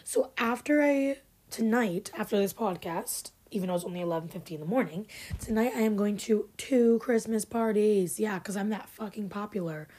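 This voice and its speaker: A young woman in her twenties talks casually as a podcast host, chatty and a little sarcastic. Her voice is raspy and sleepy, husky with a mellow, darker tone, and her pitch sits at an ordinary middle range. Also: normal speed. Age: 20s. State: raspy, just woke up. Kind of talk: casual chat. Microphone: filmed podcast setup.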